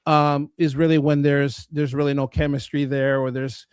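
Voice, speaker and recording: A man's voice: fairly high pitch, little pitch movement, moderate pace, clean tone, no echo, clean studio sound. A man hosting an interview show, talking earnestly and often restarting mid-sentence. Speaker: host. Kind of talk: interview and sponsor talk.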